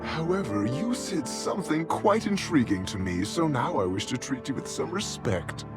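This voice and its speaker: An actor voicing a sinister puppet-master villain, measured, condescending and mock-courteous. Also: male voice, medium pitch, big pitch swings, loud music behind the voice, close to an everyday voice. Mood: smug, condescending.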